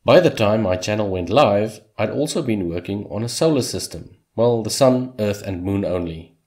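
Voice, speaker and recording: Low-voiced man narrating a dev recap. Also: animated, normal speed, a little mellow. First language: Afrikaans. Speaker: hobby game developer, channel host. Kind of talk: scripted voice-over narration.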